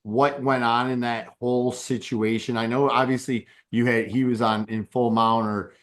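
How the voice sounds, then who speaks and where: A man's voice: medium pitch, level tone, moderate pace. Hyped man hosting a fight podcast. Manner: hyped, stumbling over words, repeats himself. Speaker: podcast host. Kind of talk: casual podcast interview.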